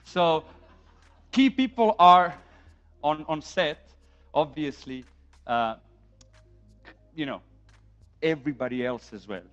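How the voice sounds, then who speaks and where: Serbian-accented male lecturer, middle-aged, slightly high voice, animated, dull lo-fi recording with faint music. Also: takes it slowly, pauses often. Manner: animated, acts out on-set quotes, rhetorical "yeah?" tags. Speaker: film sound instructor. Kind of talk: classroom lecture.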